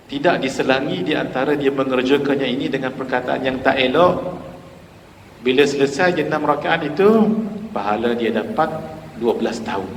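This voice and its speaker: A man in his forties with a Malaysian Malay accent, giving a lively sermon in a mosque and putting questions to the audience. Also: mid-range voice, a little hiss or hum. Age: 40s. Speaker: preacher giving a mosque lecture. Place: mosque hall. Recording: echoey hall reverb.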